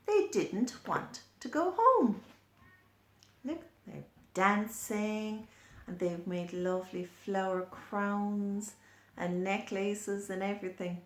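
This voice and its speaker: A woman's voice: mid-range voice, expressive, takes it slowly. A woman reading a picture book aloud to young children, explaining and pointing things out as she goes. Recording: echoey room.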